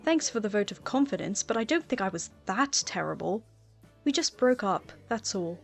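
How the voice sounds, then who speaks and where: A cocky, playful teenage boy voiced by a woman narrator in a storybook reading. He talks breezily and casually, quick to act wounded, with music underneath. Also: mid-range voice, very animated, gravelly. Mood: indignant. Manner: casual, breezy, mock-offended.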